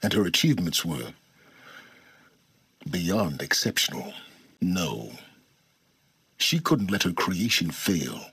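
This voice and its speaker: An older, aloof video game scholar with a very low, husky voice. His delivery is formal and cerebral, swinging widely in pitch as he condescends. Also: big pitch swings, slow, long pauses, pushed and bright, no room sound, clean studio sound, exaggerated voice. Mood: cool, insistent. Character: aloof, arrogant older male scholar of lost ancient technology. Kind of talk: video game voice acting, dramatic dialogue.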